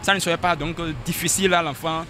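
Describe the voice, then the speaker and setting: Man, Tori speaker, fairly high voice, stumbling, repeats words, music behind. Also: steady tone, fast talker. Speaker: interviewee on the street.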